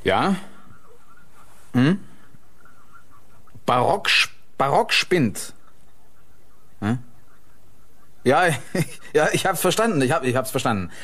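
A man's voice: mid-range voice, very animated, slow, pauses often, a bit bright. A man playing a curious, polite detective sergeant in a radio crime play, speaking conversationally and a little hesitantly. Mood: attentive. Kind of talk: radio crime drama acting. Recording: noisy radio play recording.

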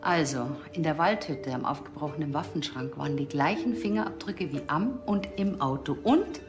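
An actress playing a brisk, stern forensic pathologist in a TV crime comedy. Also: female voice, low voice, over music. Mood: businesslike. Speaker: TV actor.

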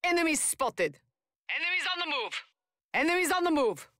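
A tough, confident soldier heroine from a video game, calls out short battle orders. Her voice is high and bright, swinging very widely in pitch. She sounds forceful and protective. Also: big pitch swings, normal speed, no room sound, clean studio sound, exaggerated voice. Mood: urgent, commanding. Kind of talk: video game combat voice lines.

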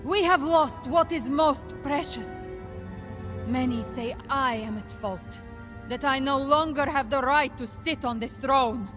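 Proud game queen giving a speech, high voice, slow and solemn, music behind. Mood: solemn, defiant. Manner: commanding, solemn, declamatory. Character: proud, defiant queen rallying her people. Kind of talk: fantasy game cinematic oration.

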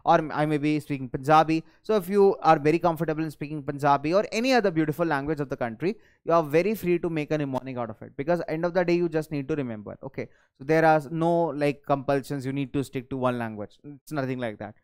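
A young man, a native Hindi speaker, giving a recorded class lecture in a studio on a lapel mic, lively and thinking aloud as he goes. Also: on the high side, fast, smooth. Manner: energetic, gestures at screen, thinks aloud. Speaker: teacher. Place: studio in front of a large screen.

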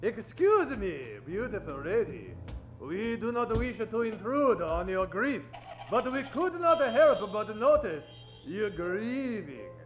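A man's voice: high-pitched, sing-song, takes it slowly, muffled recording, faint music behind, full character voice. A man playing a courtly, pompous detective general in old-time radio comedy, speaking formal, stilted broken English. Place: theatre stage. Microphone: vintage-style stand microphone.

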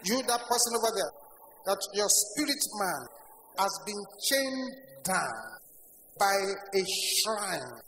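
Older male pastor shouting prayers in a hall. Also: high voice, very animated, takes it slowly. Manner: shouted commands, leads congregation in prayer; speaks in tongues between prayers. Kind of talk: fiery prayer session sermon. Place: large church hall. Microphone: stand microphone at pulpit. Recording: echoey hall with noisy congregation.

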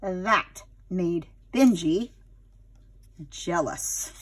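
A woman's voice: fairly low pitch, very slow. A woman narrating a children's storybook expressively, dramatic and addressing young listeners like a teacher.

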